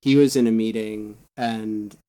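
An American man on a podcast, explaining things in a relaxed, self-deprecating way. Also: fairly low pitch, a little slow, low-quality recording. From United States. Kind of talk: casual chat.

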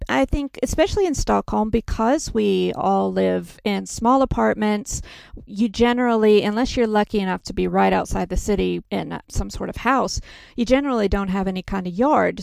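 A woman's voice: medium pitch, vocal fry, darkish tone, no room sound. A woman from Texas, hosting a casual podcast chat and laughing often. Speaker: podcast host.

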